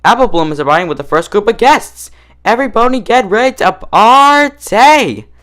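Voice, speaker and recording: A man voicing an excitable young female cartoon pony, gushing and exclaiming. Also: high voice, moderate pace, dry recording, clean studio sound, pitched above the performer's own voice. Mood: excited.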